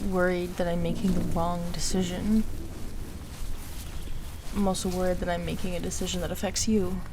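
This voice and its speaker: A woman's voice: on the low side, flat delivery, normal speed, background noise, natural voice. A woman playing an anxious, self-doubting young woman in an audio roleplay, hesitant and emotional, defiant when pushed. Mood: torn, self-loathing. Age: young adult.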